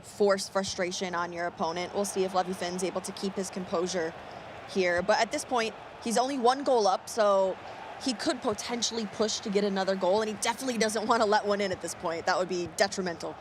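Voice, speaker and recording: A woman's voice: medium pitch, steady tone, fairly quick, noisy background. Upbeat woman commentating esports. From United States. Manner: fluent, upbeat, reads chat questions. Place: event venue.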